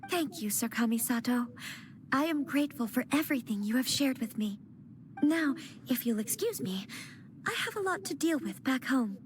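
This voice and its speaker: A woman's voice: high voice, animated, moderate pace, slight background noise. An actress playing an earnest young noblewoman who speaks formally as she steps up to lead her clan, in video game dialogue.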